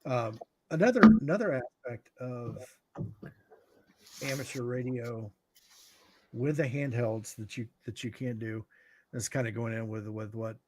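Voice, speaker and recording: A middle-aged American man giving informal explanations in a halting way, as if thinking aloud. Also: mid-range voice, darkish tone, a little hiss or hum, low-quality recording. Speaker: guest radio expert.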